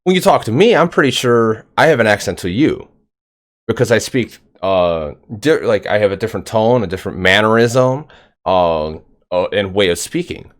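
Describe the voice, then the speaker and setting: A man's voice: on the low side, expressive, normal speed. A man hosting a casual interview podcast, talking in a loose, rambling way with lots of fillers. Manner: rambling, filler-heavy, upbeat. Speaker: podcast host.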